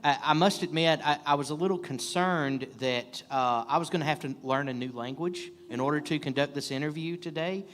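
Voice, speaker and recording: A man's voice: fairly high pitch, brisk, few pauses, a bit bright, big-room sound, a little hiss or hum. Playful radio host man, stammering. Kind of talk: live radio interview.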